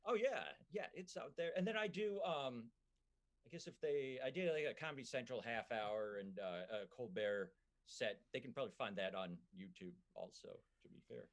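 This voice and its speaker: A comedian with a Minnesotan accent, chatting on a podcast in a halting way full of fillers and restarts. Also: male voice, medium pitch, animated. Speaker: comedian guest. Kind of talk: casual podcast chat.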